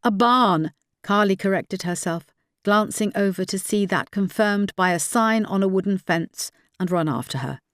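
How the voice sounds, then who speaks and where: A woman's voice: medium pitch, normal speed, a bit bright, no echo, clean studio sound. A woman audiobook narrator reading dramatic fiction from a script.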